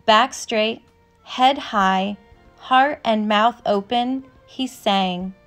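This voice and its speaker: A woman narrator reading a children's picture book aloud from a script, with measured, careful phrasing. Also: on the high side. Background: instrumental music.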